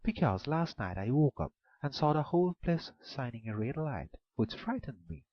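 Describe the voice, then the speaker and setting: A timid but clever young girl speaks up in a raised, pleading voice, as a man puts her on for a storybook reading. The tone is slightly husky. The sound is dull and dry. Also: sing-song, moderate pace, dry recording. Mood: innocent. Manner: higher, pleading, innocent.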